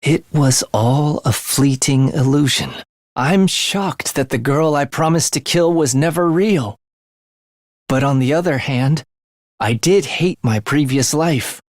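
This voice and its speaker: Creepy young game killer, slow drawl, theatrical, giddy about death, real dry close sound. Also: male voice, on the high side, no echo. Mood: brooding, detached. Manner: languid, menacing, breaking into gleeful excitement. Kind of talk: theatrical video game voice acting.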